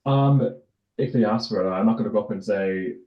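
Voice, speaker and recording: A middle-aged Irish man talks casually as a guest in an interview. His voice sits on the low side. The recording is roomy and echoing, with a dull, lo-fi tone. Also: fairly quick. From Ireland, raised in County Tipperary. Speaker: interview guest, tennis tournament director. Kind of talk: casual conversational interview answers. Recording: roomy echo, dull lo-fi sound.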